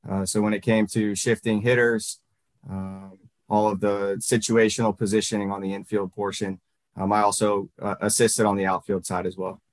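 Halting young baseball coach from Virginia. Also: male voice, fairly low pitch, level tone, dry recording. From Northern Virginia, US. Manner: halting, many fillers and restarts. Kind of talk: interview answers.

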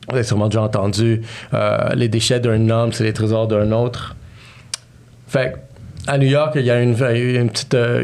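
Gravelly Quebec French man telling stories. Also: on the low side. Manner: mixes English slang into French, animated storytelling. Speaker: podcast guest, entrepreneur. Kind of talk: casual storytelling interview.

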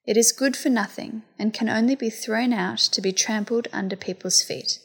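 A woman reading a scripture passage aloud in a steady, deliberate liturgical style. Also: on the high side, slightly rough. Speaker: scripture reader.

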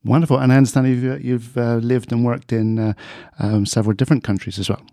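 A man's voice: medium pitch, flat delivery, fast talker, mellow, no room sound. A man from the UK hosting a podcast, upbeat with frequent hesitations, in a studio. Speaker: podcast host.

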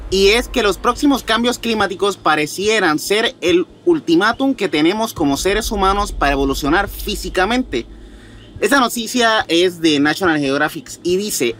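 High-pitched Puerto Rican man presenting. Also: fairly bright tone, slight background noise. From Puerto Rico. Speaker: podcast co-host. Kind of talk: presenting and reading news on a podcast.

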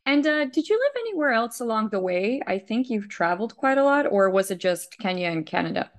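A woman's voice: fairly high pitch, big pitch swings, fairly quick. A woman hosting an interview podcast, asking questions in a friendly, upbeat way. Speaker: podcast host.

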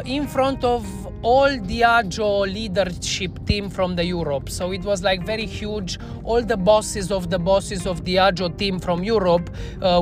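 A woman with a Romanian accent, a native Romanian speaker talking in English, telling a candid, self-reflective story as a podcast guest. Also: medium pitch. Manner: earnest, self-reflective, non-native phrasing. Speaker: bar trainer, podcast guest.

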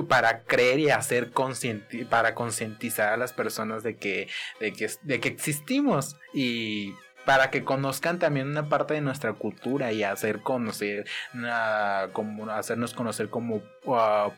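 A man from Mexico answering interview questions conversationally, halting and repeating his phrases as he goes. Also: medium pitch, fairly bright tone, soft background music. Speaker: interviewed activist.

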